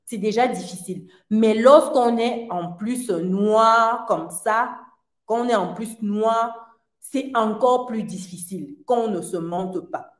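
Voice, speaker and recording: A woman giving an earnest personal testimony in casual talk, often laughing lightly between phrases and filling pauses. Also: fairly high pitch. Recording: roomy echo.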